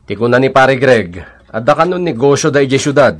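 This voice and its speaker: An actor plays an upbeat Ilocano working man encouraging his buddy in a radio comedy drama. His voice is mid-range. The delivery is animated, jovial and persuasive. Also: mid-range voice, brisk, natural voice. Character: upbeat, persuasive male buddy and fellow worker.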